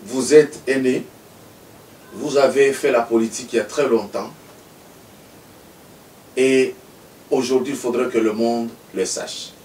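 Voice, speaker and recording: A man's voice: medium pitch, steady tone, a little slow, pauses often, darkish tone. A man from Congo hosting a TV interview, formal and emphatic. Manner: formal, emphatic, speaks in sweeping phrases. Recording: echoey room, mic far off, faint noise.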